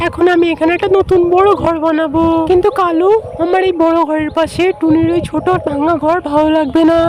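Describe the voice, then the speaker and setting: Cartoon crow wife, extremely shrill pitch, fast talker, boastful, bossy, quarrelsome. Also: female voice, flat delivery, soft background music. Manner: bossy, dramatic, repeats words for emphasis. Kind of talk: cartoon dubbing.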